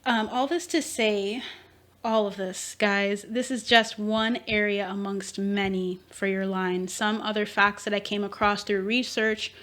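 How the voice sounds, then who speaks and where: A woman's voice: medium pitch, steady tone, a little slow, fairly bright tone. Chatty woman giving an intuitive reading. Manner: rambling, thinks aloud with fillers and self-corrections. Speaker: intuitive reader. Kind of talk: tarot-style intuitive reading and history talk.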